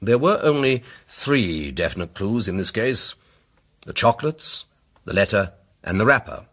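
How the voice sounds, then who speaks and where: An actor playing a pompous, self-assured English barrister sleuth in a radio drama, speaking with rhetorical, deliberate emphasis. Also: male voice, fairly low pitch, sing-song, a bit bright, full character voice. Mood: assured, oratorical. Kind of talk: radio drama, courtroom-like oratory.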